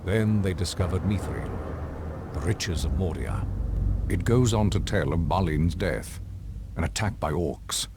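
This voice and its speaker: An actor playing a grave, stern old wizard in a fantasy video game, with solemn, weighty delivery. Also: male voice, low voice, unhurried, very noisy. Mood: ominous. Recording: loud game music over the voice.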